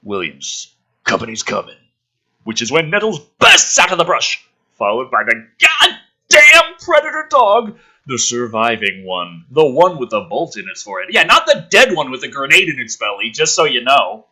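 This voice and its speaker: Animated raspy man, sharp and exasperated. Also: mid-range voice, normal speed. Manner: sarcastic, foul-mouthed, exasperated outbursts. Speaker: gaming channel host reading and mocking a film script. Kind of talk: dramatic script read-through with ranting commentary.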